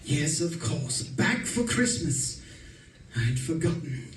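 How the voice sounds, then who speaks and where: A man's voice: fairly high pitch, big pitch swings, slow, noisy background. A man playing a mild, put-upon middle-aged professor and husband in an old-time radio suspense play. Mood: meek, resigned. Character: meek, henpecked middle-aged professor husband, quietly resentful. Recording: roomy, distant mic.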